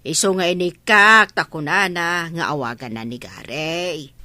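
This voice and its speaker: A woman's voice: fairly low pitch, unhurried, sharp tone, no room sound. A woman playing a middle-aged, scolding mother in a radio comedy-drama, nagging and reproachful, with an Ilocano Filipino accent. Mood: exasperated, reproachful. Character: scolding, exasperated older mother of grown children.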